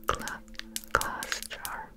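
Slow whispering young woman. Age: young adult. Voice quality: whispered, breathy. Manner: soft, unhurried, gentle instructions. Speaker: ASMR makeup artist roleplay host. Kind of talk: ASMR personal attention roleplay. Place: small indoor room, close up. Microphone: close sensitive microphone.

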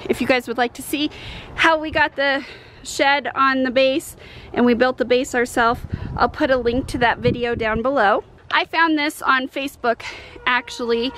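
A woman's voice: high voice, fairly bright tone, slight background noise. A middle-aged American woman from Colorado chatting casually and enthusiastically to camera as a homesteading vlogger. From Colorado, United States. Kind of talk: casual vlog chat to camera. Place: outdoors in a yard, later in a parked or moving car. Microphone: camera at arm's length.

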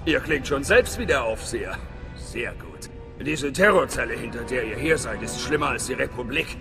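An actor playing a hearty, wry middle-aged spy station chief in a video game, theatrical and conspiratorial. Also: male voice, medium pitch, expressive, moderate pace, close to an everyday voice. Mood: conspiratorial, serious. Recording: long reverb, game music behind.

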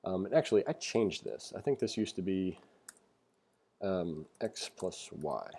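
A man giving a casual tutorial as an instructor, reading aloud and commenting informally as he thinks out loud. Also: deep voice, expressive, unhurried, gravelly, slightly rough. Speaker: programming instructor. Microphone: screen-recording voice-over.

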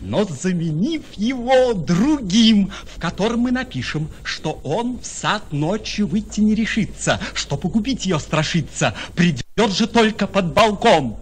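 A man's voice: high voice, big pitch swings, measured pace, few pauses, slight background noise. An actor playing a scheming, commanding young nobleman in a radio play. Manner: commanding, conspiratorial.

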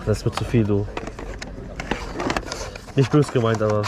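A man's voice: lowish voice, steady tone, unhurried. A man chatting and haggling casually at an outdoor flea market, friendly and colloquial. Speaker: YouTuber shopping at flea market. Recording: very noisy outdoor market, traffic sounds. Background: loud outdoor urban noise, vehicles.

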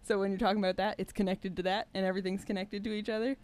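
A young woman co-hosting on stage, explaining things in an animated, casual, conversational way into a microphone. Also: medium pitch, racing, slight background noise. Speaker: co-host and musician. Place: small indoor stage with curtain. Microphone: stage microphone on a stand.

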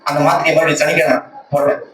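High-voiced Tamil man testifying, echoey and noisy. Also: little pitch movement, very fast. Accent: Tamil Nadu. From Tamil Nadu, India. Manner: earnest, emphatic, repeats phrases for emphasis. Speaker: church member giving a testimony, lay speaker. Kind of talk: personal religious testimony to a congregation. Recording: echoey, distant conference call with loud noise.

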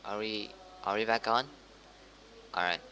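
A man's voice: fairly low pitch, fairly even intonation, unhurried, pushed and bright, a little hiss or hum. A man playing a hesitant local TV news anchor, informal and stumbling over his words in an amateur drama. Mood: apologetic, halting. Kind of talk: amateur acted news interview.

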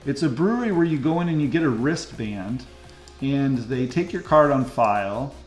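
A man's voice: medium pitch, expressive, moderate pace, roomy sound, faint music behind. A middle-aged American man giving a casual, chatty guided commentary in the manner of a local real estate host. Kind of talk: casual map-tour commentary. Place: indoor room, screen recording with webcam.

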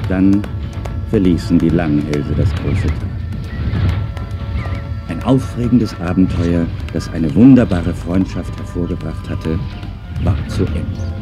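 A man's voice: low voice, slow pace, pauses often. A man reading scripted narration for a children's audio play, as a storyteller. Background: music.

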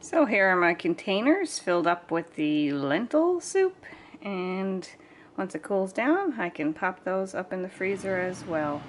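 A woman's voice: mid-range voice, very animated, measured pace, a little hiss or hum. A middle-aged woman chatting casually through a homesteading kitchen vlog, plainly explaining each step while filming in her home kitchen on a handheld camera. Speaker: homesteading vlogger.

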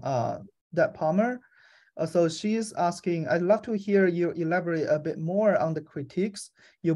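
A man's voice: fairly high pitch, a little mellow. A young man moderating a webinar Q&A over a video call from a room at home, politely reading out an audience question.

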